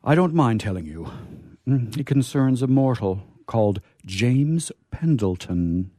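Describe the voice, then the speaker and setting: A man playing a courteous, dignified guardian angel in a live radio comedy, speaking in a formal, measured way. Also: medium pitch, very animated, warm tone, no echo, studio quality. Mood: politely earnest.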